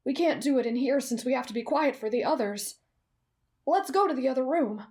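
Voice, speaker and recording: A woman playing a kind, polite, earnest teenage boy in an anime fan roleplay, speaking gently and considerately. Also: high voice, lively intonation, fairly quick. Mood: eager, friendly.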